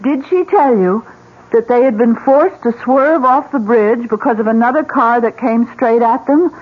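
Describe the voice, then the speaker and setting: An actress playing a worried, insistent wife and inn owner in a radio drama, earnest and pressing. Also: female voice, fairly high pitch, moderate pace, close to an everyday voice. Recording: tinny radio broadcast, faint noise.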